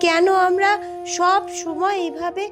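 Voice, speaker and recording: A woman's voice: extremely high pitch, steady tone, measured pace. A woman voicing a poor, earnest young village daughter in a cartoon melodrama, plaintive and sad. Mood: sad, confused. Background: background music.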